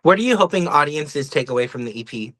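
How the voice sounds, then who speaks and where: A man's voice: on the high side, lively intonation, fast talker, fairly bright tone, dry recording. Male music podcast host, dry close sound. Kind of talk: casual music interview.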